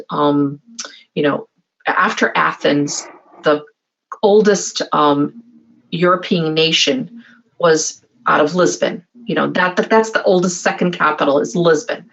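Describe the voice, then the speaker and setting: A woman co-hosting a casual chat, telling stories in an animated way with many restarts. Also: sits low. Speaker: podcast co-host. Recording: dull lo-fi sound.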